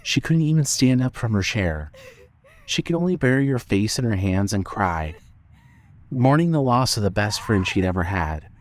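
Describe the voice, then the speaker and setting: A man's voice: fairly low pitch, expressive, normal speed. A man narrating a scripted dramatic story as an audiobook-style narrator.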